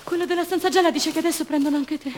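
Young mum held prisoner, high voice, raw and anguished, tender but defiant, radio theatre monologue. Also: female voice, fairly even intonation, very fast, darkish tone, a little hiss or hum. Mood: anguished, pleading. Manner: theatrical, repetitive, emotionally raw delivery.

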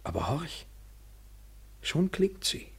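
An actor in a literary German radio play playing a brooding, bitter lover, intense and eloquent, swinging from hushed to vehement. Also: male voice, a little high, very animated, slow, vocal fry, slightly rough, exaggerated voice. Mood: hushed, anguished. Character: brooding, bitter lover, a man wrestling with himself.

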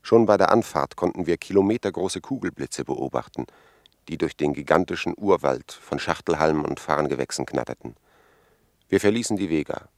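A very low, creaky male voice in a 1950s radio drama. He is a grave expedition member reporting what he saw in measured, literary sentences. The sound is dry, with little room around it. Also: dry recording, full character voice.

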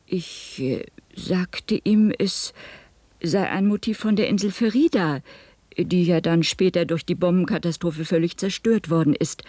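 A woman's voice: mid-range voice, darkish tone, dry recording. An actress playing an older woman, a professor's wife, giving careful, hesitant and precise testimony in a 1970s radio play. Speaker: witness at a hearing.

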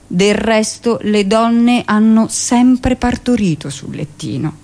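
A woman plays an elderly male doctor in a radio drama. He is pompous and paternal, with cold old-fashioned authority. He lectures insistently, stretching his key words slowly. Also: on the high side. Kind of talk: theatrical radio monologue.

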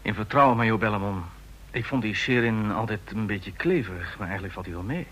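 An actor in a Dutch radio play voicing a courteous, authoritative army general with a formal, commanding manner. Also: male voice, lowish voice, expressive, fairly quick, a bit bright, breathy edge. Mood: confidential, formal.

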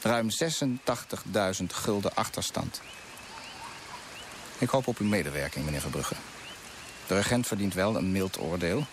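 An actor playing an eager, courteous colonial official in a radio drama, speaking formally and inquisitively. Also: male voice, sits low, expressive, moderate pace, long pauses. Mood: eager, probing. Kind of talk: Dutch radio drama acting. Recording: noisy old radio play recording.

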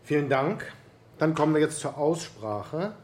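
A polite, procedural chairman with a fairly high male voice. He runs the committee formally, as in a radio play, with faint noise behind him. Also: animated, a little slow. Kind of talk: chairing a meeting in radio drama.

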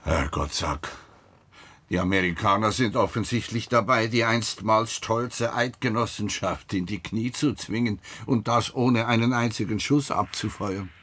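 An actor playing a gruff, insistent retired detective in a radio play, an older man with a husky, blunt, grumbling delivery. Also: fairly low pitch, a little slow, a bit bright, slight background noise. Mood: probing, wry. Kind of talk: naturalistic radio drama dialogue.

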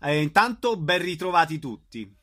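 A man's voice: a little high, sing-song, normal speed, a loud crowd. A man hosting a conversational interview, introducing and questioning guests. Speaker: radio interview host.

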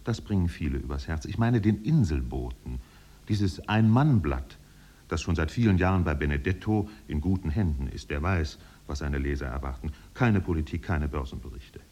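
Husky, deep-voiced wry storyteller. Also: male voice, animated, moderate pace, a little mellow. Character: wry, poetic storyteller observing islanders. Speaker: narrator. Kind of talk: scripted radio drama narration, 1950s.

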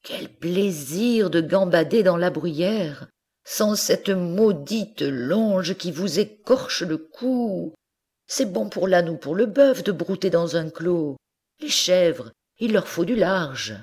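Reader doing a young she-goat, wistful and pleading, raised pitch, slow. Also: lively intonation, darkish tone, husky. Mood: yearning. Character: young female goat, restless, yearning for freedom.

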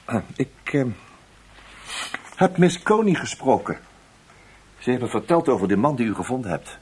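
An insistent investigator, expressive and husky. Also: male voice, medium pitch, moderate pace. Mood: polite, hesitant. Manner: polite, probing, hesitant with murmured asides. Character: probing intelligence investigator, polite but insistent, hesitant. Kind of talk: radio drama acting.

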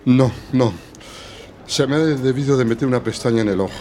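Guy as soft-hearted hesitant sidekick, audio drama, mid voice, noisy background. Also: mid-range voice, brisk, lightly acted. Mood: touched. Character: Lucas, sentimental, hesitant travelling companion of the hero. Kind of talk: Spanish audio fiction acting.

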